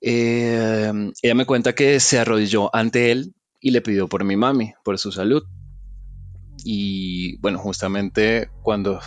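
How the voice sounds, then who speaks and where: A man tells a personal story in a soft, reflective, halting way. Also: on the low side, fairly even intonation, no echo, studio quality. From Venezuela.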